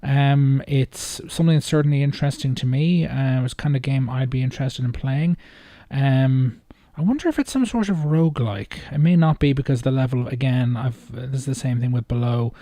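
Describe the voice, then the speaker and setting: Mellow man, hesitant and rambling. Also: mid-range voice, no echo, studio quality. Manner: hesitant, rambling, halting phrasing. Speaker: video host reacting to game trailers. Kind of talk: casual off-the-cuff commentary.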